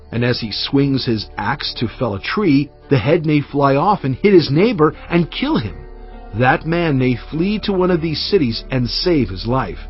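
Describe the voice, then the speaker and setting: A man narrates scripture with a very lively, swooping range and a medium pitch. His delivery is solemn, measured and emphatic. The recording is slightly muffled, with faint cinematic music underneath.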